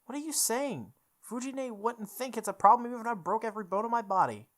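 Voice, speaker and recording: A woman's voice: fairly high pitch, expressive, quick pace, voice pushed up, lightly acted. A blunt, stubborn teenage boy in a visual novel, voiced by a woman. He is protective and casual, brushing off concern. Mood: incredulous. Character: stubborn, protective teenage boy, archer turned club quitter.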